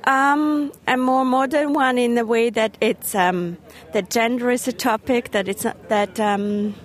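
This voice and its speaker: A woman from Germany answering questions in a street interview outdoors, hesitant with many pauses. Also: on the high side, steady tone. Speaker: member of the public. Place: outdoors at a gathering.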